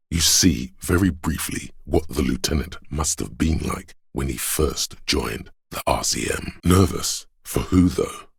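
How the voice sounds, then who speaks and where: A man playing a solemn, brotherly inner voice of police instinct in a video game, narrating in a measured, brooding, literary way with a gravelly, husky tone. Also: very deep voice, no echo, studio quality, heavily acted. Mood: solemn, concerned. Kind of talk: scripted second-person game narration.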